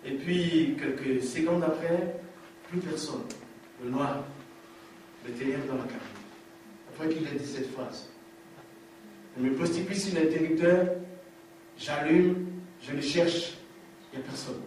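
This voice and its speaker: A man's voice: on the high side, fairly even intonation, unhurried, long pauses, a little mellow, slight background noise. A man giving an emphatic preached testimony, repeating lines for effect, recorded from far off in a large hall. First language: African language. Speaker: testimony speaker.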